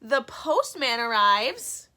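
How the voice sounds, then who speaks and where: Bright, high-pitched woman reading to children. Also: slow. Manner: animated, expressive, explains words simply for young listeners. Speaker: teacher reading a picture book aloud to children. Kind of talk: children's read-aloud with simple explanations.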